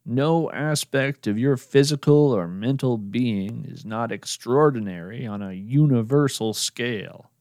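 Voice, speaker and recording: A man narrating his podcast in a slow, measured, earnest scripted address to the listener. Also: medium pitch, darkish tone, no echo, studio quality.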